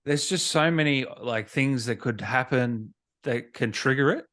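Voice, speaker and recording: A man's voice: mid-range voice, unhurried, a bit bright. A man hosting a casual gaming interview, speaking in an informal, matey way. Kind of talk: casual chat.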